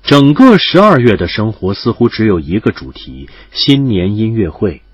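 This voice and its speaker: Give a gentle romance storyteller, a man with a fairly low, husky voice. His melody swings dramatically and phrases trail off softly. It is recorded close and dry, with music underneath. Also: sing-song, moderate pace, no room sound, muffled recording. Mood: tender, dramatic. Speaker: narrator and actor. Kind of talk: dramatic audiobook narration.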